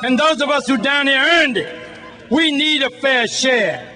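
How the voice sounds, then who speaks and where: A man's voice: extremely high pitch, expressive, a little slow, bright, forceful tone. A man, giving a militant, folksy, improvised protest speech outdoors into a microphone. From Brooklyn, New York. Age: 70s. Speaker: community activist at a rally. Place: outdoor city rally stage. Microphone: handheld microphone.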